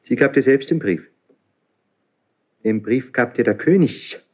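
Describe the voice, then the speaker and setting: An actor playing a highly strung young crown prince in a classical radio play, declaiming intensely with a husky voice. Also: male voice, measured pace, a little mellow, muffled recording, slight background noise, lightly acted. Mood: agitated, suspicious. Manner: impulsive, intense, theatrically declaiming. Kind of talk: classical German radio play stage acting.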